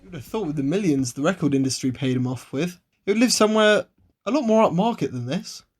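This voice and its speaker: A woman's voice: sits low, animated, fast talker, darkish tone. A woman playing a gullible, confidently wrong comic sidekick in an amateur radio play. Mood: musing. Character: dim, gullible, cocksure sidekick to an amateur sleuth.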